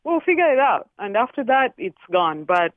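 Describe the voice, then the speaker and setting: A woman from the Congo with a Congolese accent, calling in to a radio show and speaking haltingly as she searches for words. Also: on the high side, very animated, no echo. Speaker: caller. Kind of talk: call-in radio conversation. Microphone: telephone. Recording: tinny phone line.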